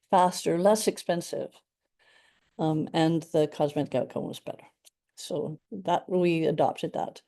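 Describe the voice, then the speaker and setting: A woman's voice: lowish voice, warm tone. A middle-aged woman from Canada with a Canadian accent, a radiation oncologist giving an earnest, enthusiastic talk to a support group, with frequent ums.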